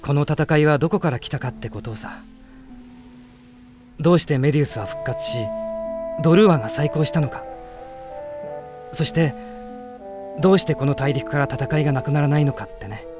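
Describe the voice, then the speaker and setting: An actor playing a gentle, earnest young prince who speaks in a measured, soft-spoken way in a radio drama. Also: male voice, on the high side, natural voice. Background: music.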